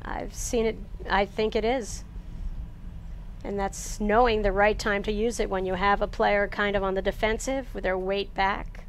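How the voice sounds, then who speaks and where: A woman's voice: mid-range voice, level tone, normal speed, a little hiss or hum. A woman giving confident, analytical color commentary on a tennis match. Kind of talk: sports commentary.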